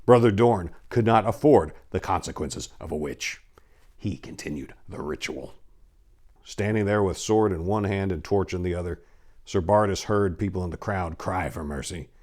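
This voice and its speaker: Male narrator, lowish pitch, grave theatrical reading of sword-and-sorcery fiction. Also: normal speed, darkish tone. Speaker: storyteller. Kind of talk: dramatic scripted fantasy narration.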